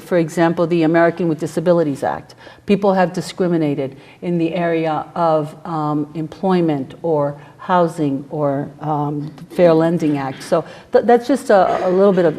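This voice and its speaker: A middle-aged woman whose first language is Spanish, giving a prepared speech to a campus audience in a measured, formal way with frequent "uh" fillers. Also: on the low side, warm tone. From New York City. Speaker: guest speaker, federal prosecutor.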